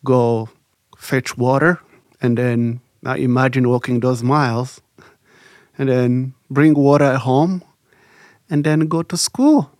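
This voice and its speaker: A man, telling his life story in a reflective, halting way with many fillers. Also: medium pitch, steady tone, darkish tone, no room sound, clean studio sound. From Rwanda. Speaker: interview guest. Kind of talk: recalled life story, casual.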